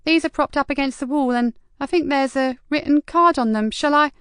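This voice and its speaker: A woman's voice: high voice, brisk, fairly bright tone, no room sound, clean studio sound, pitched above the performer's own voice. A woman voicing an eager, self-important young female receptionist who turns frightened. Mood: uncertain.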